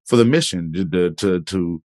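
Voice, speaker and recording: A low-pitched man hosts a podcast chat in a loose, easygoing way, circling back and restarting his sentences often. The sound is dry and close, with no room around it. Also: moderate pace, no room sound. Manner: relaxed, rambling, many fillers and restarts. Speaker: podcast host. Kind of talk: casual interview chat.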